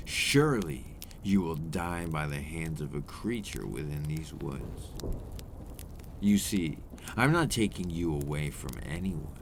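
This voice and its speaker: Man voicing a very deep, brooding vampire. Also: a little hiss or hum, heavily acted. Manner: theatrical, slow, formal, dropping into breathy whispers. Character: brooding, possessive old male vampire living in a forest. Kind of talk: ASMR roleplay monologue.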